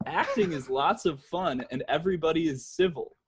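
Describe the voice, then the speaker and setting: A husky-voiced man hosting a casual comedy podcast, explaining things emphatically with asides and swearing. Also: fairly high pitch, lively intonation, moderate pace, slight background noise. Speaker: podcast host.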